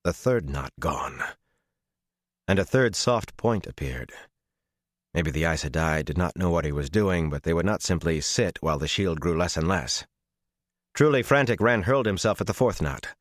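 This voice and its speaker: A man reading a dramatic fantasy audiobook as its narrator, with a measured, intense and expressive delivery. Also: deep voice, no echo, clean studio sound.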